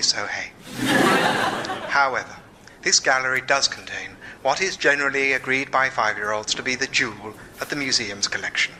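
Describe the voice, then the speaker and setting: A man playing a pompous, deadpan museum audio guide in a radio comedy sketch, in mock-formal narration. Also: medium pitch, noisy background, lightly acted. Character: pompous museum audio guide spouting five-year-olds' opinions.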